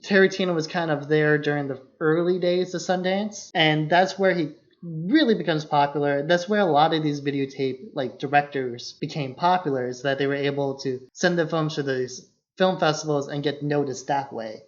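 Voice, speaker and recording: A man's voice: on the high side, normal speed, hardly any pauses. A man co-hosting a podcast, explaining film matters in an informal, casual discussion. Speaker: podcast co-host. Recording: dull, muffled lo-fi podcast audio.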